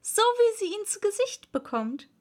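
A polite, curious young girl from a storybook, played by a woman. Her voice is high and lively in pitch, earnest and naive, and she speaks eagerly without hurrying. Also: slow pace, full character voice. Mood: eager, proud. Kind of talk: storybook character acting.

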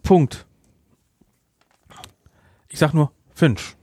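A man's voice: on the high side, drawn-out words, mellow, no echo. A man co-hosting a casual film review podcast, talking emphatically and repeating words for effect. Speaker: podcast co-host.

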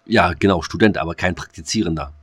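Low-voiced guy goofing around on a podcast, lots of uhs, dry close sound. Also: fast, husky, no echo. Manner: rambling, full of ums and restarts. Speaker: podcast co-host. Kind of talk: joking casual chat.

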